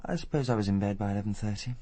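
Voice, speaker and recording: Deep-voiced, guarded English doctor. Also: male voice, lively intonation, rapid-fire, warm tone, no room sound. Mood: flat, guarded. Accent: upper-class English. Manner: clipped, reserved, understated. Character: subdued, guarded upper-class English male doctor under questioning. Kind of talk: radio drama acting.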